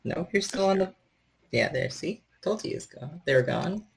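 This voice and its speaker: A man's voice: high voice, expressive, normal speed, gravelly, breathy edge. A man speaking casually as a storyteller for a tabletop game on an online group call. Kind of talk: casual talk and improvised game narration.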